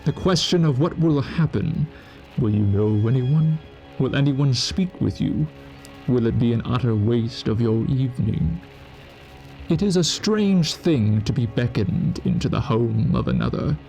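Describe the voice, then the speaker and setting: Brooding male narrator for a radio drama, slow and measured, faint music underneath. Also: medium pitch, animated, creaky, mellow. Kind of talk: scripted ominous radio narration.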